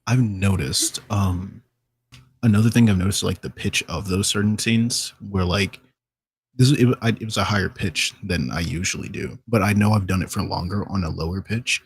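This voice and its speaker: A man chats informally as a panel guest. His voice is slightly low, strongly gravelly and very rough-edged. He speaks like a voice actor talking shop. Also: normal speed. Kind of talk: casual podcast chat.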